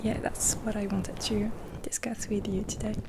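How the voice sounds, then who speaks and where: A woman speaking in a gentle, half-whispered ASMR roleplay, rambling softly and reassuringly with pauses, close to the mic. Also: mid-range voice, level tone. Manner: caring, reassuring. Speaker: ASMR creator. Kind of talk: soft-spoken ASMR roleplay.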